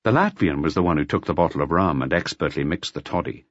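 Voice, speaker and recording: A man narrating a literary audiobook in a measured, expressive storytelling manner. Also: very deep voice, no room sound, muffled recording. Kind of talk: scripted literary narration of a detective novel.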